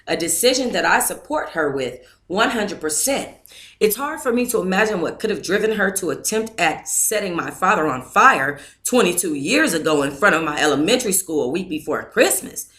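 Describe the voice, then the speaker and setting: A woman's voice: fairly low pitch, a bit bright. A woman hosting a book club, reading a book aloud on a live stream and chatting casually with her viewers between passages. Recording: echoey room.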